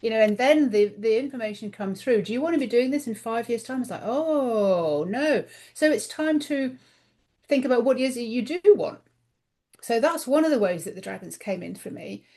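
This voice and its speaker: A woman's voice: on the high side, lively intonation, moderate pace, mellow. A middle-aged woman, a guest author in a casual podcast interview, talking earnestly and voicing her own inner thoughts aloud.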